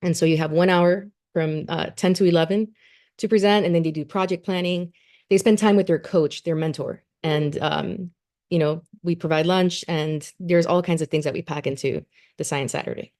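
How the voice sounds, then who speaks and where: A woman's voice: on the low side, steady tone, moderate pace, darkish tone. A woman presenting her education program at a community meeting, earnest and full of fillers and restarts.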